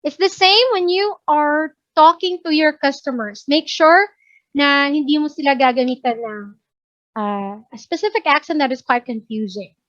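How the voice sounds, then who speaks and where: High-voiced Filipino English woman trainer. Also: normal speed, a bit bright, dry recording, dull audio. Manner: chatty, keeps checking understanding, mixes Tagalog and English, laughs often. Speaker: workplace communication trainer. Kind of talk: casual instructive training talk.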